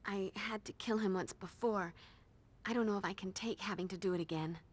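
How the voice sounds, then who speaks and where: A woman's voice: mid-range voice, brisk, lightly acted. An actress playing a quippy, confident young female vampire slayer in a video game, with an American accent. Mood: worried. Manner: quippy, sarcastic. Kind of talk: video game cutscene dialogue. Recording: music behind the voice.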